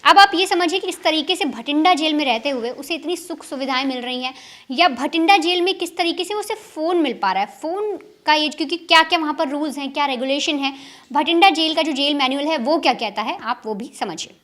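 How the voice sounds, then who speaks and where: A woman's voice: sits high, animated, brisk, a bit bright. A woman crime news reporter explaining a case to viewers in an emphatic, repetitive way. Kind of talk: explanatory news commentary to camera.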